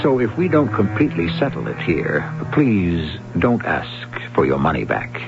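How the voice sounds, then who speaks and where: Deep, portentous male radio-mystery host. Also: wildly swooping pitch, moderate pace, vocal fry. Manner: portentous, rhetorical, wry. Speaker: host and narrator. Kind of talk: theatrical scripted radio-mystery narration. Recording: dull old radio recording. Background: music.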